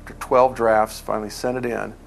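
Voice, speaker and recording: A man's voice: on the low side, flat delivery, a little fast, gravelly, a little hiss or hum. A man recalling past events in a documentary interview, telling anecdotes and quoting remembered conversations.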